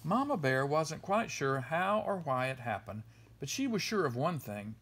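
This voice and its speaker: A man reading a children's story aloud in an expressive storytelling style. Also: fairly high pitch, normal speed, husky. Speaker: storybook reader.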